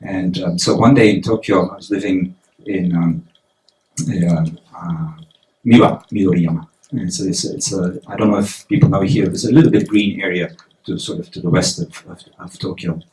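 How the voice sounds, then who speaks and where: Musing man with a low voice. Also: fairly even intonation, normal speed, darkish tone. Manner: thoughtful, musing. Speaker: festival panelist, poet. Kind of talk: public panel talk. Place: event hall. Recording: echoey hall.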